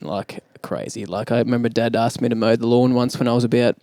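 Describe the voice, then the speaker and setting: A young Australian man speaking quickly as a podcast guest, with a gravelly, creaky edge to his voice. He sounds relaxed and laughs easily, and the recording is close and dry, with no room sound. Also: mid-range voice, dry recording. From New Zealand, raised in Australia. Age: young adult. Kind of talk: casual chat.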